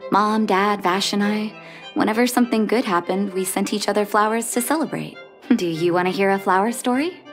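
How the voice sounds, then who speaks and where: An actress playing a gentle, caring adult doctor in a video game, speaking softly in measured, wistful lines. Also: female voice, medium pitch, soft background music, lightly acted. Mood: wistful, warm. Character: gentle adult female underground doctor, soft-spoken, caring. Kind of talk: scripted video game dialogue.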